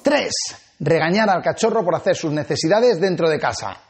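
A man in his forties from Spain hosting a dog-training video, talking to camera with animated gestures and emphatic swings in pitch. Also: moderate pace, over loud music. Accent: Spanish from Spain. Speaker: dog trainer and channel host. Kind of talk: energetic tips video to camera. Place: small indoor studio set with shelves. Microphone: lapel.

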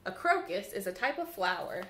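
A woman's voice: medium pitch, sing-song, a little fast, fairly bright tone. A woman in her thirties reading a children's picture book aloud in a sing-song way, talking directly to young kids as a library storyteller. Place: small room indoors by a window, seated. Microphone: camera mic a short distance away. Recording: roomy echo from camera mic.

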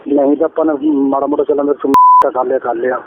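Tinny phone-line Punjabi man, talking fast. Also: medium pitch, steady tone, vocal fry. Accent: Indian Punjabi. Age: middle-aged. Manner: rambling, repetitive, insistent. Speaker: caller, father-in-law. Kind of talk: private phone conversation. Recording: noisy, tinny recorded phone call.

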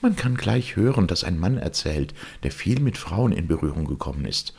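A flattering fairy-tale broom, voiced by a man, full of gushing admiration. The voice is gravelly and lively in pitch. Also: moderate pace, heavily acted. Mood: admiring.

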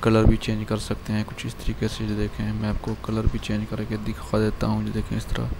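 A man, hosting a screen-recorded software tutorial and calmly walking through a design file with lots of filler words. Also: lowish voice, vocal fry, background noise. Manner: calm, unhurried explaining with many fillers. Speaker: channel host showing a design file.

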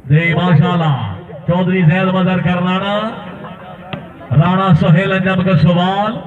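Punjabi guy doing hyped match commentary, high voice, dull distant sound, shouts out praise to players. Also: flat delivery, takes it slowly. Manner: exclamatory, praising players and urging applause. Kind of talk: excited volleyball commentary with verse and crowd calls. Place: open-air dirt sports ground with spectators. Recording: distant, dull outdoor PA with noisy crowd.

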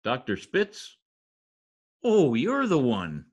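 A man's voice: mid-range voice, huge pitch swings, takes it slowly, exaggerated voice. A middle-aged man playing an anxious, pompous, theatrical husband in old-time radio comedy style. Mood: worried. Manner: dramatic, exclaiming. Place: home office, over video call. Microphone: video call computer mic.

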